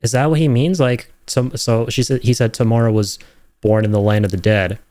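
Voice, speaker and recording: A man giving casual reaction commentary, rambling and thinking aloud with some excitement. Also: mid-range voice, brisk, no echo. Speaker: video creator reacting to game trailers.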